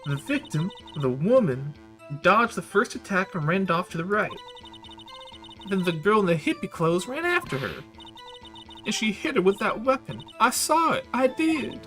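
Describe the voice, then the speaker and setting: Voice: mid-range voice, sing-song, fairly bright tone, soft background music, voice pushed up. A streamer voicing a coy, sugary young woman on the witness stand in a video game, cutesy and wheedling. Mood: insistent. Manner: cutesy, wheedling, drawn-out protests.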